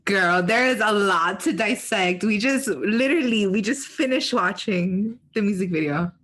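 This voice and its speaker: A woman's voice: medium pitch, normal speed, fairly bright tone. A woman co-hosting a podcast in a casual, opinionated way, with sassy, slangy talk. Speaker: podcast co-host.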